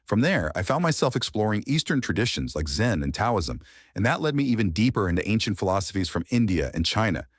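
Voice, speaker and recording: A man in his thirties whose first language is Farsi, a meditation teacher and life coach telling his personal story in a reflective, animated way. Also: fairly low pitch, brisk, slightly rough, no room sound, clean studio sound. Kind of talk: personal storytelling podcast monologue.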